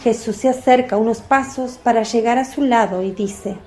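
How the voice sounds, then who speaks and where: A woman's voice: on the high side, normal speed, warm tone. A woman narrating a scripted religious radio drama as a storyteller.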